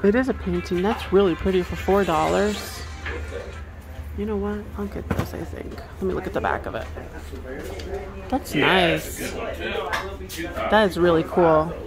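A woman's voice: lowish voice, measured pace, pauses often, loud music behind the voice. A woman vlogger giving casual commentary as she shops, half murmuring to herself while browsing. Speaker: vlogger, antiques reseller. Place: house and garage at estate sales. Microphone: handheld phone or camera.

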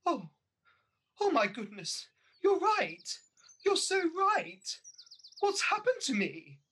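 A woman's voice: very high voice, huge pitch swings, slow pace, a bit bright, full character voice. A woman playing a vain, haughty pantomime mother with an exclamatory, theatrical delivery, in a radio panto. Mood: remorseful, distressed. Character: vain, haughty pantomime dame-mother, newly young and beautiful.

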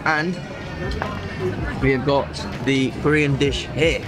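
A young man talks to camera in a relaxed, easygoing manner, with soft fillers and a mid-range voice. He is filmed up close on a handheld camera in a busy indoor mall restaurant. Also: mid-range voice, slow, over music, crowd noise. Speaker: food vlogger. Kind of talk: casual vlog chat to camera.

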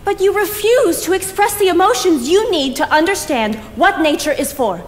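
An actress playing a defiant young cleaning woman, blunt and deliberate, in declamatory theatrical style. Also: very high-pitched, animated. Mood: accusing. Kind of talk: theatrical stage acting. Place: theatre stage, indoors. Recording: echoey theatre stage, background hiss.

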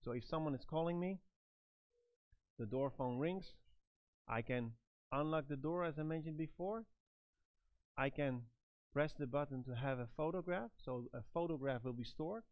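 A middle-aged man giving step-by-step product instructions in a halting, phrase-by-phrase manner. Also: a little high, no echo, narrow, tinny audio. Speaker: product trainer and presenter.

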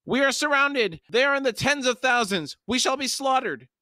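A cowardly army commander from a fantasy audiobook, way high and shrieky, frantic and helpless. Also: male voice, animated, moderate pace, pushed and bright, dry recording, clean studio sound, heavily acted. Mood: shrieking panic. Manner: shrill, frantic outbursts.

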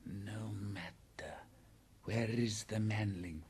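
Slow, hissing old python. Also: male voice, fairly low pitch, a little mellow, husky, full character voice. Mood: commanding, calm. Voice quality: hissing, whispery. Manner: slow, drawn-out, archaic speech. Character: great old male python, slow, commanding, menacing.

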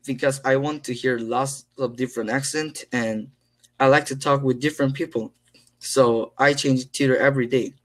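A teenage boy who speaks English with a Korean accent, talking haltingly with many fillers while practicing on a video call from his room. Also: mid-range voice, fairly even intonation, a bit bright. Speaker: English learner. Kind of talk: casual conversation practice. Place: indoors, small room at home.

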